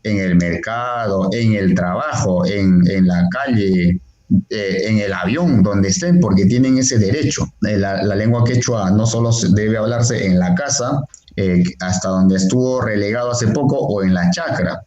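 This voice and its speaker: A man's voice: lowish voice, a little slow, darkish tone. A man, a Quechua teacher and translator, explaining earnestly as an interview guest, with many fillers and restarts. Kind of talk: interview over a call, explaining. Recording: echoey, dull lo-fi call audio.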